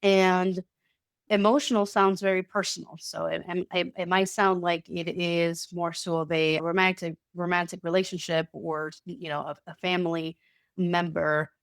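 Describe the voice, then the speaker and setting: A woman giving a casual online talk with slides, slightly nasal from a cold, with halting speech and frequent restarts. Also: on the low side, level tone, no echo, clean studio sound. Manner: halting, many restarts and repeated words. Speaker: presenter.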